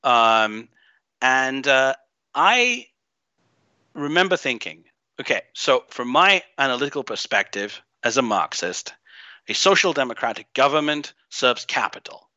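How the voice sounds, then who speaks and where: A man from Greece with an unusual mixed accent, talking as an interview guest in a halting, emphatic, opinionated way. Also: medium pitch, very animated, pushed and bright, no room sound. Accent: unusual mixed foreign-tinged. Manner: halting, emphatic, thinking aloud. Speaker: podcast guest, game writer and designer. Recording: dull, band-limited online audio.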